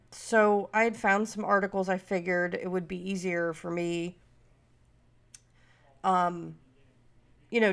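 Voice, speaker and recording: Middle-aged woman, stuffy nasal cold voice, low and gravelly, rambling podcast chat. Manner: rambling, many fillers and restarts. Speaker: podcast host.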